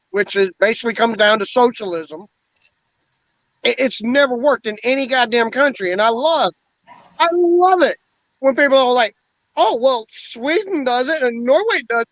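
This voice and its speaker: A man's voice: extremely high pitch, a bit bright, no echo. Blunt, profane man in his forties. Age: 40s. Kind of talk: casual chat. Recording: dull lo-fi dry sound.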